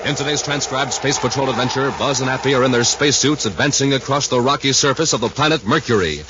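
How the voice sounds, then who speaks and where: An American man announcing and pitching in a dramatic, emphatic 1950s radio style. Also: fast talker. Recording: dull, faintly noisy 1950s radio recording.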